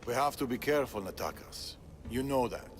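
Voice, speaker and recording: A man's voice: mid-range voice, expressive, a little fast, a little hiss or hum, close to an everyday voice. An actor playing a wary, secretive older Persian father and fighter in a video game, guarded and solemn. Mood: urgent, guarded. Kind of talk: dramatic video game acting. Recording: background music under the voice.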